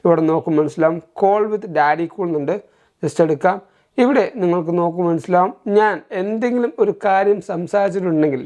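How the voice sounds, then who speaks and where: A man's voice: sits high, darkish tone. A man with a Malayali accent, hosting a tech walkthrough in an explanatory, step-by-step way and mixing in English terms. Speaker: tech reviewer, host. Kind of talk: scripted-feeling tech tutorial narration.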